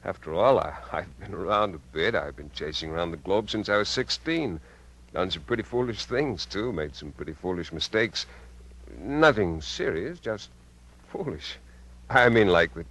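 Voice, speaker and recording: An American actor playing a menacing, bitter, cajoling killer uncle in a 1950s radio drama, with intense delivery. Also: male voice, sits low, animated, moderate pace, fairly bright tone, exaggerated voice, low-quality recording. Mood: coaxing, uneasy. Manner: intense, swinging from coaxing to contemptuous. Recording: vintage radio recording with hum.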